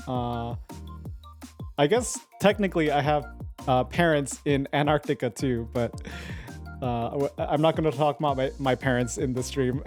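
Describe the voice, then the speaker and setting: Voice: medium pitch, a little mellow. A streamer chatting casually on a live stream, giggling often and rambling with lots of fillers. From United States.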